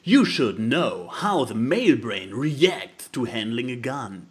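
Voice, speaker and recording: A man's voice: mid-range voice, very animated, slow. A man co-hosting a podcast, reading posts aloud and commenting casually with sarcastic, mock-dramatic delivery. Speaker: podcast co-host.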